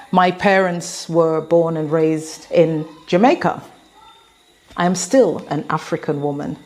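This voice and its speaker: An English-accented woman in her fifties, giving an earnest, measured spoken address to an audience of women. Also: fairly low pitch, a little mellow. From England. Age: 50s. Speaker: motivational speaker.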